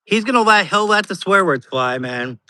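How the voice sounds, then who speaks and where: A man's voice: high voice, animated, normal speed, pushed and bright, no echo, studio quality. A man chatting as a guest on a livestream, rambling casually and laughing often. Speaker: guest streamer.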